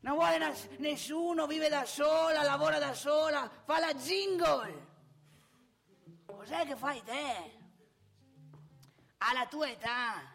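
A woman's voice: high voice, slow pace, creaky, long pauses, a bit bright, soft background music, heavily acted. A woman playing a cantankerous, opinionated old married man in a theatrical comic monologue, with a northeastern Italian accent, scolding and haranguing in rhetorical questions. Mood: indignant, exasperated.

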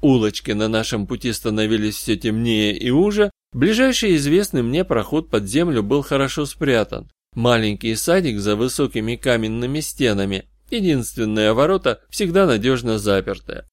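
A man's voice: mid-range voice, animated, normal speed, dry recording. Male audiobook narrator, dry close recording. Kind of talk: scripted first-person detective fantasy narration.

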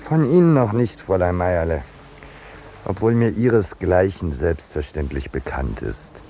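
An actor playing a peaceable, unworldly landscape painter in a 1950s German radio play, drily polite and unruffled with a quiet irony. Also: male voice, sits low, big pitch swings, exaggerated voice. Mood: politely ironic. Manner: dry, polite, unhurried irony. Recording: noisy 1950s radio drama recording.

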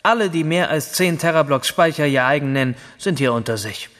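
A man's voice: fairly high pitch, expressive, fairly quick, a bit bright, dry recording, clean studio sound. An actor playing an obsessed, detached young man of a future elite, delivering a brooding, introspective monologue in a German radio drama. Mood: detached, wry.

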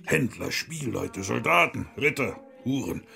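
Radio drama monk reformer: earthy and scornful, heavily gravelly voice with wide swoops of pitch. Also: male voice, very animated, takes it slowly, bright, forceful tone, exaggerated voice. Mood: boisterous. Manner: hearty, coarse, mocking, chuckling. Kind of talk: German radio play acting.

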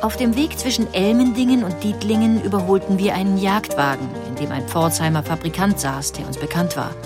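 A woman reading a woman's own first-person account of a long journey aloud, in measured storytelling. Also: medium pitch, close to an everyday voice. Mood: wistful. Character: woman recalling a long early motorcar journey. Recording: music bed behind voice.

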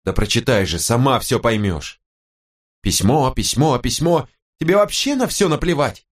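A man voicing an irritated, sarcastic, worn-out young wife and mother in an audiobook, with a sharp, nagging manner. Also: medium pitch, sing-song, moderate pace, pitched above the performer's own voice, close to an everyday voice. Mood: scornful. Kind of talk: audiobook character voice.

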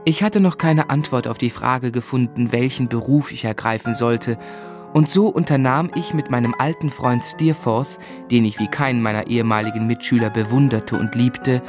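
A man's voice: medium pitch, lightly acted. An actor playing an earnest young man who tells his life in articulate literary German radio drama style.

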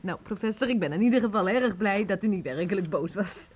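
An actress playing a good-natured, sharp-witted housekeeper in a children's audio drama, chiding in an expressive, familiar way. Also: female voice, mid-range voice, a little fast, slightly rough. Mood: amused.

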